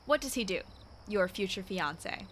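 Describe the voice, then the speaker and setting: A woman's voice: medium pitch, lively intonation, moderate pace, a bit bright, slight background noise, close to an everyday voice. An actress playing a nosy, opinionated married woman in a radio play, speaking emphatically with swooping exclamations. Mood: knowing, effusive.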